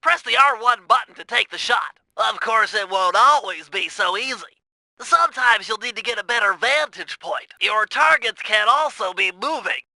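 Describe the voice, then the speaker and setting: Nerdy turtle strategist, piercingly bright, creaky edge, nasal, precise, earnest, video game tutorial, dry close recording. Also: male voice, sits high, expressive, normal speed, dry recording, exaggerated voice. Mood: businesslike, focused. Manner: precise, instructional, wordy and technical. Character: brainy nerdy turtle mission planner, male, earnest and fussy.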